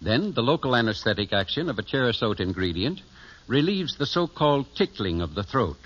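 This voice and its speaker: An actor playing a trustworthy family druggist, delivering a formal, emphatic scripted commercial on old radio. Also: male voice, medium pitch, big pitch swings, a little fast, fairly bright tone. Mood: earnest, reassuring. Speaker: commercial pitchman. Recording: muffled vintage radio recording.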